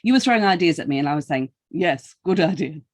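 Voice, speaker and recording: A woman's voice: low voice, lively intonation, fast talker. A woman interviewing a podcast guest in a casual, conversational style with an informal, teasing manner.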